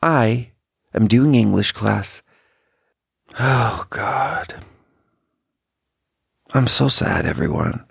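A Swedish-born man with a mid-range voice, recorded dry and close. He rambles casually through personal stories, halting and restarting as he goes, with a wry, self-deprecating manner. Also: medium pitch, gravelly, husky, no room sound. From Sweden. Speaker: podcast host.

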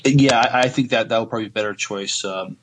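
A man co-hosting a podcast in casual chat, halting and searching for his words. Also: lowish voice, slightly rough. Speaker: podcast co-host.

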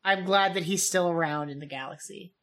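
A woman's voice: on the low side, fairly quick, fairly bright tone. A woman co-hosting a podcast, chatting casually and enthusiastically. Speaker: podcast co-host. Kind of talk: casual chat.

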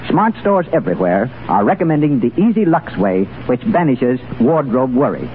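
Lively male 1930s radio announcer. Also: mid-range voice, normal speed. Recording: tinny, hissy 1930s radio broadcast.

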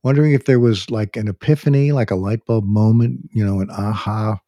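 Podcast host guy, mellow dark voice, dry close sound, polite and formal. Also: lowish voice, fairly even intonation, fairly quick, no room sound. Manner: polite, formal, asks long multi-part questions. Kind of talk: interviewing a guest.

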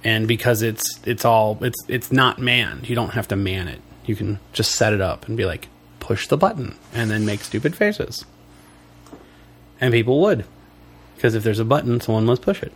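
A man's voice: fairly low pitch, moderate pace, a little hiss or hum. A man co-hosting a casual podcast, wheezy from allergies, joking and chuckling as he rambles through explanations. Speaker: podcast co-host.

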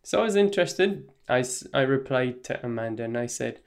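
An Irish man hosting a solo podcast, explaining his views in a measured, even-tempered way. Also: mid-range voice. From Ireland. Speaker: podcast host.

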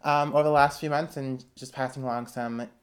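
A woman's voice: rumbling low, fairly even intonation, brisk. A young woman co-hosting a casual podcast, often laughing and talking with many fillers and restarts. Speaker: podcast co-host. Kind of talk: casual chat.

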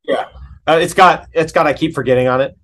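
A man's voice: a little high, fairly quick, a bit bright. A man talking casually as an interview guest, in an enthusiastic, slangy, rambling way about his own craft.

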